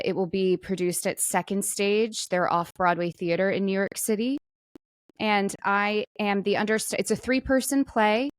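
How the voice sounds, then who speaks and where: A woman's voice: fairly low pitch, clean tone, dry recording. A woman co-hosting a podcast in casual, conversational chat, with plenty of "like" and trailing asides. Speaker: podcast co-host; actor.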